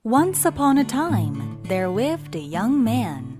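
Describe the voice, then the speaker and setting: Expressive, unhurried woman storyteller over music. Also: a little high. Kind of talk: scripted children's bedtime story narration.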